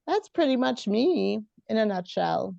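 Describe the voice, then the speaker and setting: Trinidadian woman guest, unhurried, mellow smooth voice on a slightly dull call line. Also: fairly high pitch, big pitch swings, dry recording. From Trinidad and Tobago. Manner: unhurried, laughs easily. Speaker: podcast guest, Bible teacher. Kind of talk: casual interview chat.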